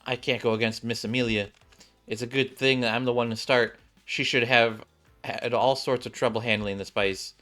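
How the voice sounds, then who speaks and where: A man's voice: mid-range voice, fairly even intonation, normal speed, bright, forceful tone, soft background music, natural voice. A man voicing a confident, haughty young female maid cook with a matter-of-fact, dismissive manner in a game story. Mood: dismissive, practical.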